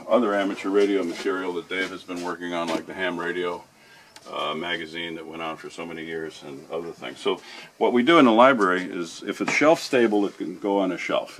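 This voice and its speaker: Deep-voiced man giving an informal tour. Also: fairly bright tone, a little hiss or hum. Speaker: library guide. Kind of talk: informal guided tour narration.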